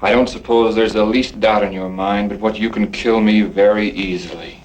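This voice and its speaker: An actor playing a proud, jealous husband in a radio Western, speaking in a stiff, formal, clipped way. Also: male voice, fairly low pitch, fairly even intonation, normal speed, lightly acted, low-quality recording. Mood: tense resolve. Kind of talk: old-time radio Western drama acting.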